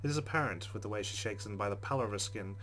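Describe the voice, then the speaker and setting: A man's voice: fairly low pitch, very fast, a bit bright. A man hosting a let's play, reading game narration aloud expressively and adding casual commentary. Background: game music.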